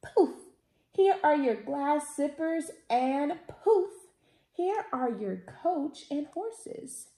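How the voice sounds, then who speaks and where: A woman voicing a kindly, commanding fairy godmother in a storybook, with grand, drawn-out proclamations. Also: high-pitched, sing-song, warm tone, full character voice. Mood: grand, magical. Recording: roomy indoor echo.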